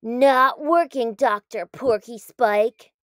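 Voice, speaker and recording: Woman voicing a sneering, drawn-out grumpy male cactus. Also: a little high, fairly bright tone, dry recording, clean studio sound. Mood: spiteful, defiant. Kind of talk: children's storybook read-aloud.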